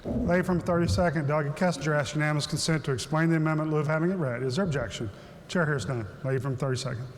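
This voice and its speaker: A man presiding over a legislative chamber, calling votes and recognizing members in brisk, formulaic procedure. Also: on the high side. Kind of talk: formal parliamentary procedure. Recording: long hall reverb, faint ambience.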